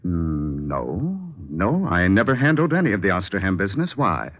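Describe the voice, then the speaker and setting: An actor playing a polite, uneasy lawyer in an old-time radio drama, formal and hesitant. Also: male voice, low voice, big pitch swings, moderate pace, lo-fi sound. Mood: shocked, evasive.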